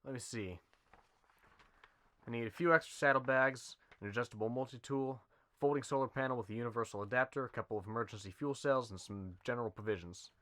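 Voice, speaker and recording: A man's voice: medium pitch, fast, sharp tone, natural voice. An actor playing an easygoing, laconic man travelling alone on a contract job, casual and understated. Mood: businesslike. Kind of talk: amateur western film acting.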